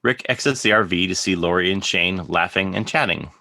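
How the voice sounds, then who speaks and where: A man's voice: low voice, brisk, gravelly, pushed and bright. A man narrating scripted stage directions for a drama reading.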